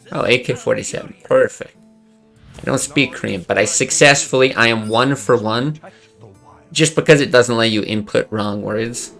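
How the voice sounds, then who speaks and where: A man's voice: on the low side, creaky, fairly bright tone. A young man streaming a card game, chatting casually and thinking aloud, swearing under his breath now and then. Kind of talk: casual gameplay commentary.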